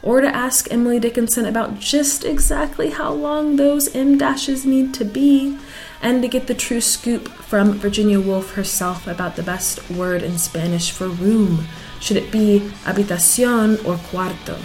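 A woman host reading a scripted introduction in a measured way. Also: a little high, darkish tone, rough recording. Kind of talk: scripted narration. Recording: clear music bed underneath.

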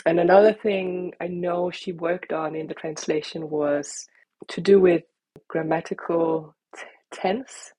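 Danish lady author, deep-ish breathy voice, dry sound, thinks out loud n restarts. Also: steady tone, a little slow, no echo, low-quality recording. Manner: thoughtful, searching for words, restarts. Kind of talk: interview answers.

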